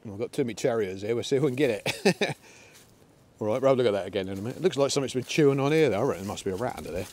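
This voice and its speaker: A man's voice: medium pitch, expressive, rapid-fire, darkish tone. A middle-aged man, chatting casually outdoors in a windy field while shooting an air rifle and talking to his dogs. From England. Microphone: camera on a tripod, then handheld.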